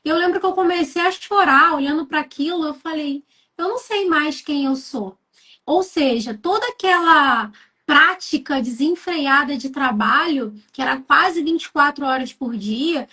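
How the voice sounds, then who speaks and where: A woman's voice: high voice, a little slow, bright, forceful tone. A woman from Rio de Janeiro with a Carioca accent, a guest who tells her own story in an earnest, reflective way. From Rio de Janeiro, Brazil. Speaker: guest speaker. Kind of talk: personal storytelling in conversation.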